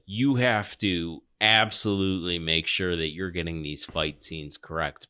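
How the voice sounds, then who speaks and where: A man with a low-pitched voice talks in casual podcast style. He sounds like a sardonic reviewer, openly critical and conversational. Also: bright, forceful tone, clean tone. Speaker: podcast host. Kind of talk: casual film review chat.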